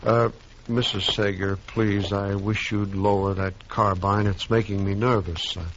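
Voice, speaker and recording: An actor playing a nervous, pushy railroad agent who pleads and stammers, in a 1950s radio drama. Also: male voice, fairly low pitch, level tone, a little slow, background noise, natural voice. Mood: pleading. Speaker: railroad representative. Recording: dull old radio recording.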